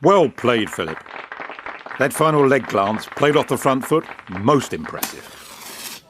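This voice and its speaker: An actor playing an urbane, evasive British establishment gentleman who speaks formally and with measured insinuation in an audio drama. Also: medium pitch, very animated, a crowd in the background, background noise. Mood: genial, smooth.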